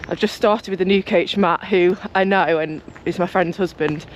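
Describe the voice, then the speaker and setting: A young woman, chatting casually to her camera as a cycling vlogger, rambling and talkative, her voice a bit croaky from just waking up. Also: fairly low pitch, steady tone, normal speed, slight background noise, poor audio. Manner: chatty, rambling, talks a lot. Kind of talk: casual vlog chat to camera. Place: home, then outdoors on a walk.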